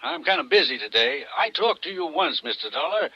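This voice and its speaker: A man's voice: on the high side, sing-song, fairly quick, sharp tone, husky, no room sound, heavily acted. An actor playing an evasive, defensive doctor in a 1950s radio drama, curt and short with his answers. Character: evasive older male doctor. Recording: dull, filtered old radio recording.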